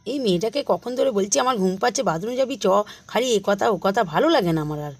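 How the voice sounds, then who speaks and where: A woman voicing a protective, bossy village mother in a children's cartoon, fussing and hushing in colloquial talk. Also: medium pitch, animated, fast, lightly acted. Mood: urgent, scolding.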